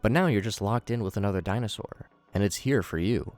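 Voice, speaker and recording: A man's voice: fairly low pitch, brisk, a little mellow. A man narrating a scripted recap with commentary, adding wry, joking asides.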